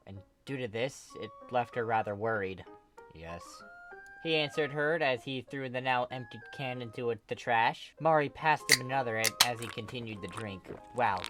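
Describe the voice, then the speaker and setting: An amateur narrator reads a fan fiction story expressively. The voice sits at a middling pitch, with faint music playing behind it. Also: normal speed, hardly any pauses.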